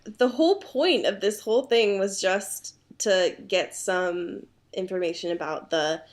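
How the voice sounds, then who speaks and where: A woman's voice: mid-range voice, measured pace. Casual, slangy woman podcaster. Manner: self-mocking, slangy, swears freely. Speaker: podcast co-host. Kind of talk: casual comedic book discussion chat.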